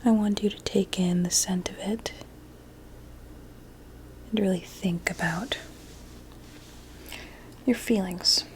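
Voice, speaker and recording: A woman playing a sinister, courteous doctor in an ASMR roleplay, mostly in a soft, breathy whisper with a measured, clinical manner. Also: mid-range voice, fairly even intonation, a little hiss or hum, natural voice. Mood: soothing, hypnotic. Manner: slow, measured, clinical and politely formal.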